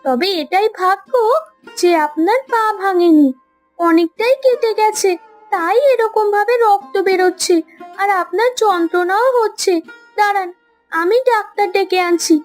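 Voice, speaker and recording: A woman playing a kind, outspoken young city woman in a cartoon, caring and brisk. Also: extremely high pitch, soft background music. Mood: reassuring. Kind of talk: Bengali cartoon story acting.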